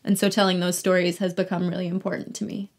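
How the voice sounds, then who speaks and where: A woman's voice: fairly low pitch, flat delivery, quick pace. A young adult woman chatting casually as an interview guest about her acting work.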